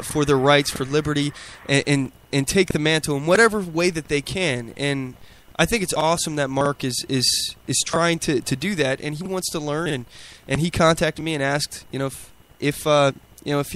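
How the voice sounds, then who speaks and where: A man hosting a casual political podcast while sick and losing his voice, halting and repeating words often. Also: a little high, fairly bright tone, a little hiss or hum. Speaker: podcast host. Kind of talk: casual political talk show.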